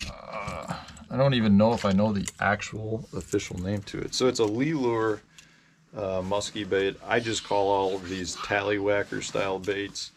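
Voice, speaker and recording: An American man in his forties telling casual, rambling, halting stories as the host of a fishing vlog. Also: low voice. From Indiana, United States. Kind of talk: casual vlog storytelling about fishing gear. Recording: clear background noise.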